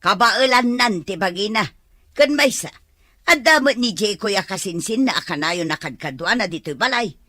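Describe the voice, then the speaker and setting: A woman's voice: medium pitch, expressive, moderate pace, sharp tone, no room sound. An actress playing an older mother who gambles and drinks and talks defensively and whiningly.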